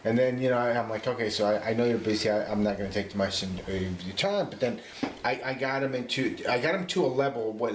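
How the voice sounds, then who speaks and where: A man's voice: mid-range voice, fast, few pauses, a little hiss or hum. A man from Mexico, chatting casually as a guest on a live stream, easygoing and full of fillers. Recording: roomy echo.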